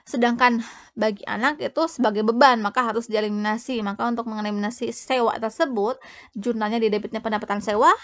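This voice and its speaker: A woman's voice: on the high side, steady tone, fairly bright tone, dry recording. A young woman giving an explanatory tutorial, often breaking off and restarting as she goes. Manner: halting, frequently breaking off and restarting. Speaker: accounting tutor presenting.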